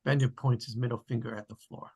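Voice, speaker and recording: A man's voice: mid-range voice, fairly even intonation, quick pace, vocal fry, mellow, husky. A man narrating, reading out stage directions at a script table read.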